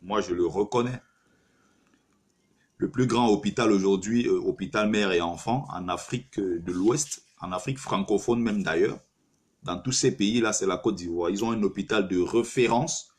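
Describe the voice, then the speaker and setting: A man hosts a live stream and argues his opinions point by point, often turning to speak straight to the listener. His voice sits low and has a husky, breathy edge. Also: moderate pace. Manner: argumentative, rhetorical, addresses listener directly. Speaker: livestream host taking callers.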